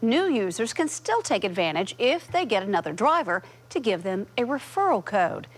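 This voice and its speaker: A woman with an American accent reads scripted news as an anchor. Her voice has a medium pitch for a woman. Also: big pitch swings, moderate pace. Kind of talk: scripted newscast reading.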